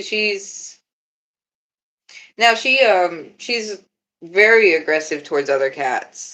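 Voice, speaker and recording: A young woman with a lowish, deeper voice chats casually on her live stream. She is laid-back and giggles often, swearing freely as she answers her chat. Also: fairly bright tone. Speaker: livestreamer.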